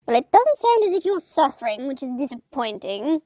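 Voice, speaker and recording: This is a woman's portrayal of a naive little angel of low rank in a comic puppet show. The voice sits high and moves about in animated leaps, close and dry. It is prim and earnest, easily flustered into indignation. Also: fairly quick, dry recording. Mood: prim, indignant.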